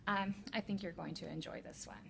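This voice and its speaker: A woman reading a children's picture book aloud as a teacher librarian, with deliberate, expressive storytelling. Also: medium pitch, vocal fry, background noise.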